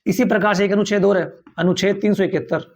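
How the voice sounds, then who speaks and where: A man's voice: sits high, fairly even intonation, fast. A male teacher giving a tenth-grade civics lecture, repeating key points and asking the class rhetorical questions.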